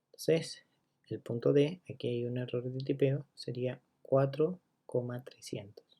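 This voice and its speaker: Male math teacher, gravelly, mellow tone, methodical step-by-step explainer. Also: mid-range voice, steady tone, a little slow. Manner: walks through each step, checking in with listeners; counts items out loud one by one. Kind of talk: recorded geometry lesson.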